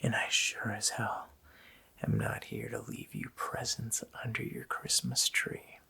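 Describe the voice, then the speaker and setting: A man voicing a sinister, taunting Christmas demon in a slow, hoarse, menacing whisper for an ASMR roleplay. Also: lowish voice, sing-song, bright, forceful tone, full character voice. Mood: boastful menace. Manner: slow, menacing, drawn-out phrasing.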